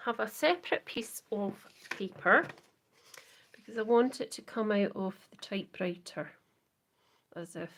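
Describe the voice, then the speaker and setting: A Scottish woman hosting a live crafting demo, chatting softly to viewers and often trailing into whispers as she works. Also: mid-range voice. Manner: soft, often trailing into whispers while working. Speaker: craft livestream host.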